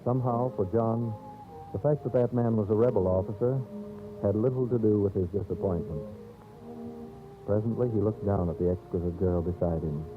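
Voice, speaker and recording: A man narrating a scripted 1930s radio drama in a solemn, theatrical, measured storyteller's manner. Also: fairly low pitch. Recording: old scratchy 1930s radio, narrow phone-like band. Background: dramatic background music.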